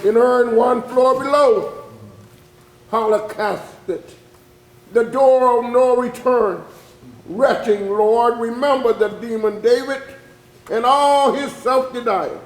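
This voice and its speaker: A man performing an anguished witness for enslaved captives, reciting dramatic verse in an intense, incantatory way with drawn-out emphatic words. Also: very high voice. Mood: pleading, anguished. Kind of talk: dramatic spoken-word poetry recitation, readers theatre. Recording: echoey room on stage.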